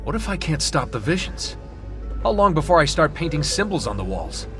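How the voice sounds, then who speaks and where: A man's voice: a little high, very animated, fairly quick, fairly bright tone, soft background music. An actor in a video game playing a casual, wry young man with an American accent, a troubled hero.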